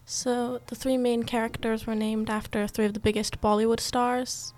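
A woman's voice: a little high, level tone, moderate pace, a little mellow. A young woman answering interview questions hesitantly, trailing off. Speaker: guest, comic book co-creator.

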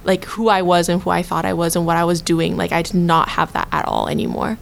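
A woman's voice: fairly low pitch, flat delivery, fairly quick, gravelly, slight background noise. A young woman in her twenties, a college student, speaking reflectively and using "like" and "sort of" often. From China. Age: 20s. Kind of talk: reflective personal talk.

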